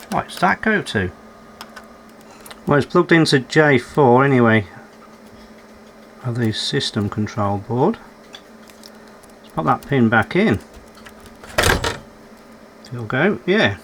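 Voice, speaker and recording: A man's voice: a little high, fairly bright tone, slight background noise. A man giving unscripted running commentary as he works on a repair, musing aloud and putting questions to himself with dry, understated humour. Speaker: electronics repairer presenting a repair video.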